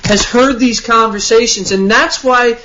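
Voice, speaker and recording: High-voiced man, blunt teasing host. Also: unhurried, pushed and bright, a little hiss or hum. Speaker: radio show host. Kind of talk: casual radio interview. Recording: dull lo-fi internet radio audio.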